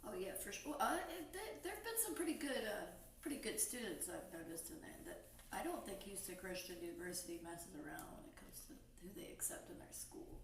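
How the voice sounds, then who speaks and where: A woman in her thirties chatting casually on a podcast, relaxed and laughing between lines. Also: medium pitch, fairly quick, few pauses, recorded from a distance. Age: 30s. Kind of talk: casual chat. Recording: echoey, off-mic, faint hiss.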